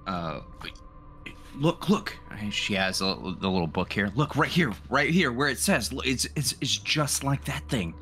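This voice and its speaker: A man's voice: mid-range voice, animated, moderate pace, a bit bright. A man playing a tabletop game, casually describing his character's actions and searching for words.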